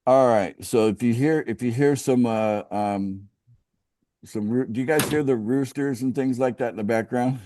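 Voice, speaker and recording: A middle-aged American man from the West Coast, pitching a business opportunity on a casual group call, often restarting sentences and asking the group for agreement. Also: normal speed, warm tone, no echo. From United States, West Coast. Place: outdoors by a home pool.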